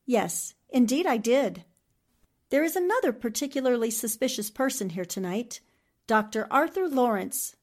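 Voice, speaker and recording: An actress playing a shrewd, confident newspaperwoman sleuth in a 1940s-style radio mystery, deliberate and probing. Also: a little high, expressive, darkish tone. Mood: probing, suspicious.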